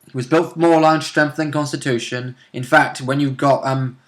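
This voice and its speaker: A man with a bright, clear-edged tone and an ordinary mid-range pitch. He speaks informally as a co-host, adding commentary in a loose, conversational style. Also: fairly even intonation, normal speed. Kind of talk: casual chat, game commentary.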